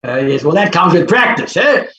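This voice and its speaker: An actor playing an impetuous professor, echoey sound. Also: male voice, a little high, big pitch swings, pushed and bright. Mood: instructive, brisk. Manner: hesitant stammering starts, chuckling, brisk. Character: robust, impetuous, energetic phonetics professor of forty, genially bullying. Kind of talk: staged reading of a classic play. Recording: echoey, dull, noisy video-call audio.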